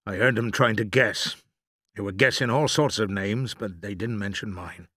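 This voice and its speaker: Man playing an injured, urgent father, husky and forceful. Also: fairly low pitch, normal speed, no room sound, clean studio sound. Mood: pained, steady. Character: loving adult father, injured and trapped, urgent but reassuring.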